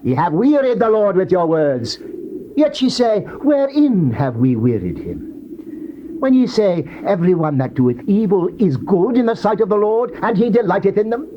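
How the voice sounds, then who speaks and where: High-voiced, solemn biblical prophet. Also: male voice, very animated, normal speed. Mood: reproachful. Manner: declamatory, earnest, rhetorical. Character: solemn Old Testament prophet rebuking and warning his people. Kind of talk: dramatized scripture reading, classical stage delivery. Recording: muffled, noisy old cassette tape.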